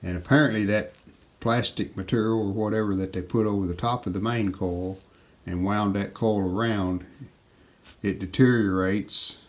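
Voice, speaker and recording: Low-voiced man explaining a hands-on repair. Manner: plain-spoken, matter-of-fact step-by-step explaining. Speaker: restoration video host. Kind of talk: casual hands-on repair narration. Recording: clearly noticeable background noise.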